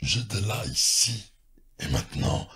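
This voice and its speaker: Brief: slow, gravelly, bottomless monster voice, swooping down in pitch. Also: male voice, animated, sharp tone, exaggerated voice. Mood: menacing, slow. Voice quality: gravelly, very husky. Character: deep gravelly male monster voice. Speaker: voice actor giving a tutorial. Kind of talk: demonstrating a deep monster voice.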